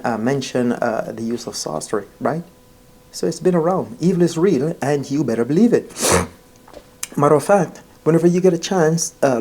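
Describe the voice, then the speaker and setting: Mellow-voiced man, earnest storyteller. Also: medium pitch. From Jamaica. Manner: earnest, emphatic, repeats phrases, often addresses listeners directly. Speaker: vlogger. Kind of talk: informal personal storytelling to viewers.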